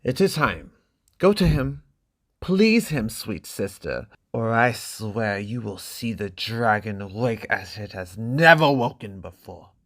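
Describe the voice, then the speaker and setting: A man voicing a menacing, sneering young noble brother in a theatrical, drawn-out reading of a graphic novel. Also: on the low side, sing-song, fairly bright tone, exaggerated voice. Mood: threatening.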